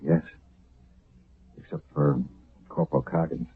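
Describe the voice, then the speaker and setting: A husky, weary army sergeant. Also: male voice, rumbling low, steady tone, measured pace, full character voice. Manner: tense, urgent, reporting. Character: weary, earnest army sergeant back from battle. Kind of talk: 1970s radio drama acting. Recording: lo-fi old radio broadcast.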